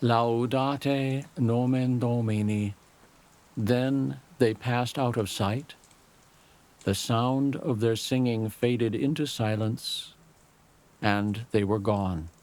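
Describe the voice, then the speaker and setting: Slow-paced man narrating a story. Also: medium pitch, darkish tone. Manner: measured, literary storytelling. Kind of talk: scripted dramatic story narration.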